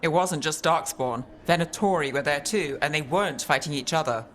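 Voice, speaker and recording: A man playing an analytical, earnest investigator in a fantasy video game, measured and thoughtful. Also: fairly high pitch, a bit bright, lightly acted. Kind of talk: dramatic video game acting. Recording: faint music behind.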